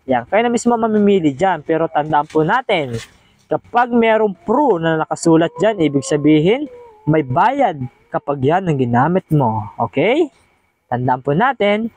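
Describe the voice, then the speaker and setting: A man's voice: on the high side, big pitch swings, moderate pace, darkish tone. A man hosts a casual step-by-step tutorial, chatty and encouraging, often repeating himself. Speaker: host of video editing tutorial.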